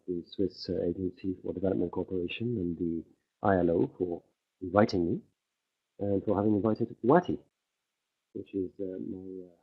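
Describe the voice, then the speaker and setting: A deep, husky male voice interpreting a conference talk live, pausing and restarting as he tracks the speaker. The sound is thin and phone-like, as if it came through a narrow livestream feed from an interpreter booth. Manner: halting, many fillers and restarts while keeping pace with the speaker. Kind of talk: simultaneous interpretation of a conference speech. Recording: tinny phone-like livestream audio.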